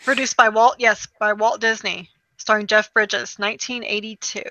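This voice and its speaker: A woman co-hosting a podcast, chatting casually and telling stories in an animated way. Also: medium pitch, moderate pace, dry recording, highs cut off. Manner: animated storytelling with acted-out quotes. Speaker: podcast co-host. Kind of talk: casual chat about films and video games.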